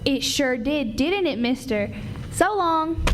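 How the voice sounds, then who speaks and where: A woman's voice: sits high, expressive, slow. A woman playing a cheeky, stubborn, teasing little girl with insistent delivery in an old-time radio comedy. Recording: noisy live stage recording.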